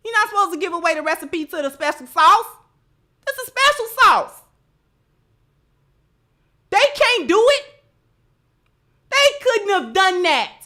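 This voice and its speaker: A woman's voice: very high voice, sing-song, measured pace, long pauses, bright, forceful tone. A woman hosting a livestream with impassioned commentary, speaking emphatically and repeating phrases to drive points home. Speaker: livestream host. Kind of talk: impassioned livestream commentary to an audience, reading out chat messages.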